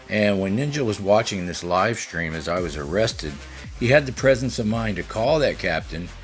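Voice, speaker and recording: A man with an American accent and a low-pitched voice narrates informally as a video host. Faint music plays under his words. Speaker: channel host. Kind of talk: narration with shout-outs.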